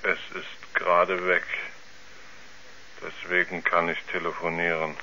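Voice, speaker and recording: An actor playing a tormented, doomed astronaut, speaking in halting, anguished pleas in a 1970s radio drama. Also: male voice, deep voice, monotone, sharp tone, full character voice. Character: tormented doomed male astronaut confessing dark horror. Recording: muffled old radio play, faint noise.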